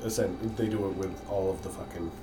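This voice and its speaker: Casual young man with a lowish voice. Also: steady tone, fairly quick, music in the background. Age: 20s. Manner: casual, swears freely, trails off. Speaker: podcast co-host. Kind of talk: casual podcast chat. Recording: clear background noise.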